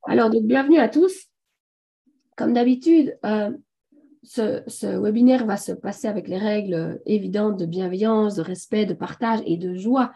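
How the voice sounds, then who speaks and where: A woman with a hoarse voice from a sore throat, enthusiastically and a little haltingly presenting a live online webinar as host. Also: medium pitch, mellow. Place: online video conference.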